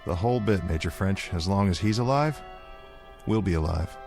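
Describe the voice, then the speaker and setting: An actor playing a calm, stubborn settlement leader in a radio drama, speaking in a measured, grave, unshaken way. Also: male voice, sits low, creaky, soft background music.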